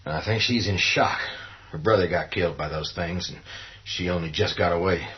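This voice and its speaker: An actor playing a gruff, protective survivors' leader, firm and blunt, in a horror radio play. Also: male voice, low-pitched, normal speed, lightly acted. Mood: concerned, steady. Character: commanding, protective, gruff man leading survivors. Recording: dull, muffled audio.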